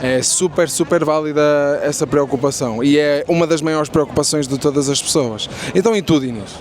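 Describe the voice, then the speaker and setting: A man's voice: a little high, brisk, darkish tone, slight background noise. A young Portuguese man with a European Portuguese accent, casually interviewing strangers on a busy city street with lots of fillers. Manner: chatty, friendly, many fillers and restarts. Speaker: street interviewer and content creator.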